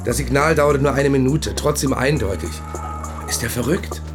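An actor playing a dogged, sceptical police investigator in a radio play, brisk and to the point. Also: male voice, medium pitch, lightly acted. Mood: businesslike. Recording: music playing behind the voice.

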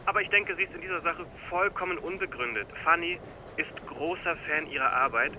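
A man's voice: on the high side, a little fast, highs cut off, close to an everyday voice. A male actor in a radio drama playing a courteous, persistent event organiser who persuades in formal, polite phrases. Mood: coaxing. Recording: clear background noise, ambience.

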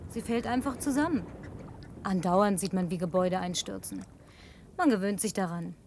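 A woman's voice: mid-range voice, moderate pace, darkish tone, a little hiss or hum, close to an everyday voice. An actress dubbing a lonely young woman who fussily orders her male guest around. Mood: showing around. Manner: prim, commanding, teasing. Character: lonely young woman, bossy fussy hostess. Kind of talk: German film dub.

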